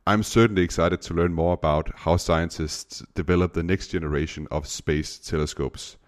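Low-voiced Danish host, halting speech. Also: male voice, steady tone. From Denmark. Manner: hesitant, many uh fillers. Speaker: podcast host. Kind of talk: interview podcast.

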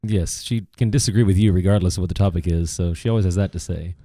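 A man in his thirties co-hosting a podcast in casual chat, easygoing and quick to laugh. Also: deep voice, mellow, no room sound, clean studio sound. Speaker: podcast co-host.

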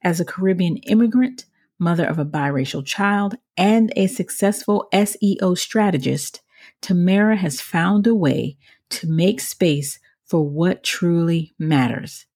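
Husky-voiced woman hosting a podcast. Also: lowish voice, measured pace, darkish tone. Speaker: podcast host. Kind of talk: podcast introduction and interviewing.

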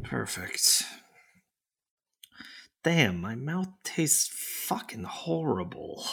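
An actor playing a hungover, irritable young boyfriend who is groggy, defensive and sarcastic. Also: male voice, mid-range voice, big pitch swings, extremely slow, vocal fry, a bit bright, husky, heavily acted. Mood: grumpy. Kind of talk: audio drama acting.

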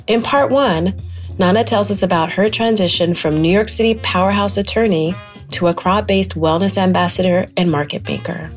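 A woman's voice: medium pitch, moderate pace, soft background music. A woman hosting an interview podcast, reading a scripted introduction and then asking conversational questions. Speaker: podcast host.

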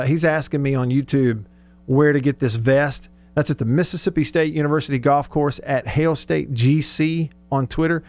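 Higher-pitched man hosting radio. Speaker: radio talk show host. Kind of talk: casual sports radio chat.

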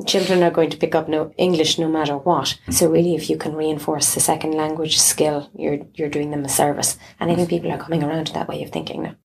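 A woman's voice: low-pitched, little pitch movement, fast talker, creaky, few pauses, a little mellow, slight background noise. An Irish woman in her thirties, explaining her views thoughtfully in a conversational interview. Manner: thoughtful, explanatory, with restarts and asides.

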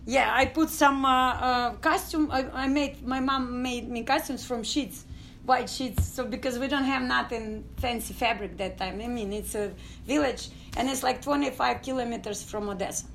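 A middle-aged woman from Ukraine with a Ukrainian accent, telling her story as an interview guest in halting English full of fillers. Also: on the high side, fairly even intonation, vocal fry, fairly bright tone, slight background noise, rough recording. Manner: halting non-native English with many fillers. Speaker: guest, dance company founder.

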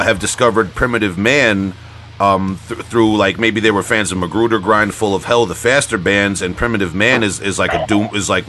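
A man hosting a podcast in casual conversation. His voice sits a bit low, and he rambles in loose slang, peppering it with "man" and "you know." There is slight noise on the recording. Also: sharp tone. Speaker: podcast host. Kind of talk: casual chat interview.

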